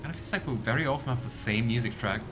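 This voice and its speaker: A man's voice: medium pitch, quick pace, over music. A young man giving casual, bemused commentary on a video game, full of fillers. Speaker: let's play host.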